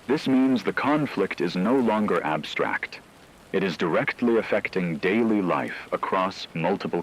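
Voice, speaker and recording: A man playing a grave radio news anchor, delivering a formal, measured scripted emergency broadcast. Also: low-pitched, slight background noise, low-quality recording.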